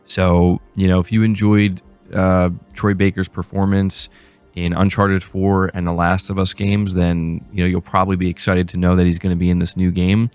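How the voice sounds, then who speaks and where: A man's voice: deep voice, little pitch movement, moderate pace, darkish tone, smooth, soft background music. A man hosting a gaming news show, moving between read-out reports and casual commentary. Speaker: host of a gaming news channel.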